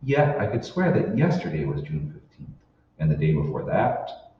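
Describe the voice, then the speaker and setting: A man's voice: very deep voice, very animated, moderate pace. A man playing a bewildered, skeptical everyman husband in an old-time radio drama, puzzled and questioning. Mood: puzzled, wary. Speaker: amateur stage actor. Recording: roomy, distant, dull hall recording with hiss.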